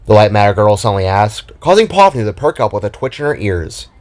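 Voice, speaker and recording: A man's voice: lowish voice, very animated, brisk, darkish tone. A man reading scripted fiction narration as the storyteller.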